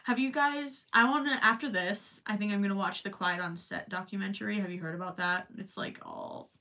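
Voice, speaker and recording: A young woman with a broad Minnesota accent hosts a crochet livestream, chatting in a loose, rambling way full of wry asides. Her voice is bright and forward. She sits a little back from the mic, so she sounds slightly distant. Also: mid-range voice, fairly even intonation, a little fast. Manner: chatty, rambling, joking asides with frequent laughs. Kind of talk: casual livestream chat. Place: small indoor craft room with yarn shelves.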